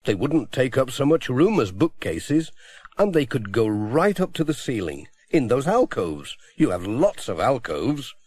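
A man's voice: mid-range voice, sing-song, measured pace, darkish tone. A man voicing a friendly, good-natured village postman in an easygoing, helpful way. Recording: dry close audiobook recording.

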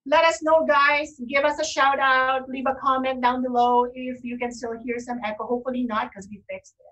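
A woman's voice: high-pitched, level tone, moderate pace, fairly bright tone. A woman co-hosting a casual online talk show, speaking from indoors over a video call. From lives in Canada. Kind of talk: casual chat. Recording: distant mic on internet video call.

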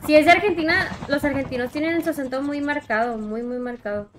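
A woman's voice: sits high, brisk. A young woman with a Mexican Spanish accent chats casually and slangily as she streams a game, often trailing off mid-thought. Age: young adult. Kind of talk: casual livestream chat while gaming. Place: indoor room, alone. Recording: game vehicle and helicopter noise behind. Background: mobile shooter game sounds, helicopter.

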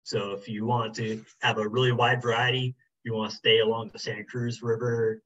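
A middle-aged man talks through a birding workshop from a small room over a webcam. His voice is mid-pitched and slightly husky, and he talks casually with plenty of fillers. The call audio is a little dull and muffled. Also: moderate pace. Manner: relaxed, many fillers like um and you know. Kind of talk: casual online workshop talk. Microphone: video call webcam.

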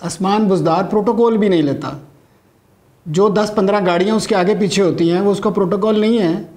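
A man's voice: sits high, fairly quick, a little mellow. A middle-aged man with a Pakistani accent delivering a scripted satirical comedy monologue as a stand-up comedian. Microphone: lapel mic.

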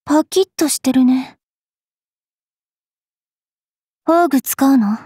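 A woman voicing a bookish, sharp-tongued young fairy witch knight in an anime mobile game, switching between prim politeness and rough taunts. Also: high voice, moderate pace, dry recording, studio quality, lightly acted. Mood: cool, teasing.

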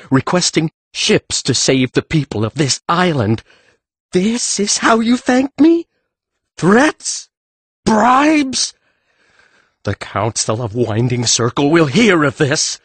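Pompous, husky, swooping priest. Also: male voice, fairly high pitch, sing-song, takes it slowly, no echo, full character voice. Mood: indignant outrage. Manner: pompous, indignant. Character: pompous, irascible male priest, outraged and self-important. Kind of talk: full-cast fantasy audiobook acting.

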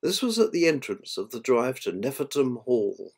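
Older man reading a storybook, mid-pitched. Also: big pitch swings, slightly rough. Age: 60s or older. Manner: amateur, measured reading. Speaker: author reading his own book. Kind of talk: storybook narration.

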